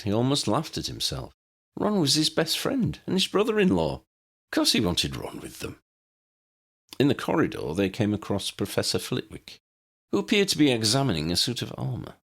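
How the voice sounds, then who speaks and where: Low, very expressive male storyteller. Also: creaky. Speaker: audiobook narrator. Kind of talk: scripted story narration.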